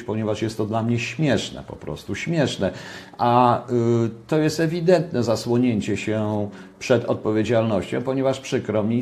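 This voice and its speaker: A man's voice: medium pitch, a little mellow. An older man giving ironic, sarcastic political commentary from his home kitchen, repeating points for emphasis, recorded on a webcam.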